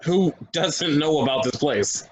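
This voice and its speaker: A man's voice: fairly high pitch, measured pace, slight background noise, lightly acted, poor audio. A man at a stage play table reading, playing a cynical, troubled local who talks bluntly and dismissively. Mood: assertive.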